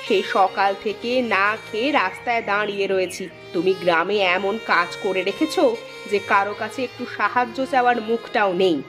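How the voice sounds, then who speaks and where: A woman's voice: medium pitch, a little fast, close to an everyday voice. Woman voicing a weary, complaining wife over music. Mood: weary, plaintive. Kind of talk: Bengali moral-tale cartoon dubbing. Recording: music with strings behind the voice.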